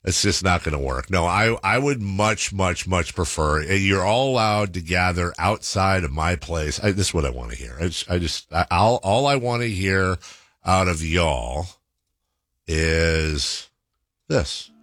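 A man's voice: sits low, expressive, unhurried, fairly bright tone, no room sound, clean studio sound. A man hosting a sports radio show, joking and rambling with frequent restarts. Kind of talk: casual radio banter.

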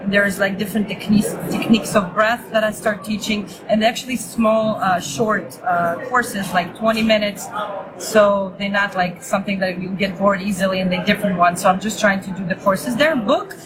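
A woman's voice: mid-range voice, steady tone, moderate pace, crowd noise, rough recording. A woman raised in Israel, a native Hebrew speaker, chatting casually as a yoga teacher in an interview, with many fillers and restarts.

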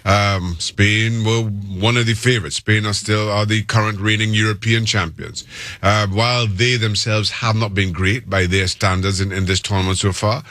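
A man with a Trinidadian accent giving considered soccer analysis as a guest, measured and articulate, often repeating words for emphasis. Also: fairly low pitch, steady tone, pushed and bright, no echo. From Trinidad and Tobago. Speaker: soccer pundit, interview guest.

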